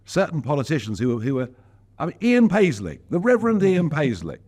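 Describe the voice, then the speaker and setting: A man's voice: fairly high pitch, wildly swooping pitch, a little fast, darkish tone, husky. A man being interviewed as a politician, speaking in an emphatic, outspoken way with wry asides. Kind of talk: casual interview talk.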